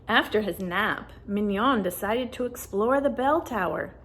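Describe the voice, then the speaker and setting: Unhurried woman reading a children's story, creaky edges. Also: mid-range voice. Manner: deliberate, animated storytelling. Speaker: storybook reader. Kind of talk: expressive children's read-aloud narration.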